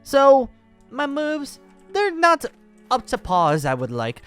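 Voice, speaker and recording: A boastful celestial wizard for a Minecraft roleplay. The voice is smooth and wildly animated, full of grand, genteel flourishes and cackling glee. Soft music runs underneath. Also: male voice, high-pitched, no room sound. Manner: theatrical, flamboyant, cackling, pompous. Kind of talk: improvised Minecraft fantasy roleplay acting.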